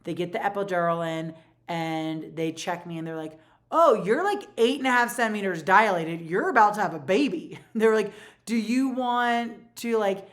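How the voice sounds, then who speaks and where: A woman in her forties with a low voice, telling a personal story in a casual, animated way with lots of fillers. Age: 40s. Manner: animated, rapid retelling with many fillers. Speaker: vlogger.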